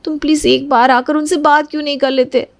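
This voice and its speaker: A woman's voice: sits high, level tone, brisk, vocal fry, dry recording, voice pushed up. A woman voicing a pleading, lovelorn young woman in her twenties in a cartoon family story. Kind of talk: cartoon moral-story dialogue.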